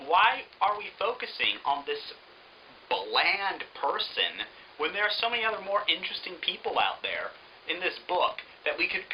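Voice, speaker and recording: A man's voice: on the high side, sharp tone, slight background noise, poor audio. A man giving an opinionated book review in a sarcastic, emphatic manner.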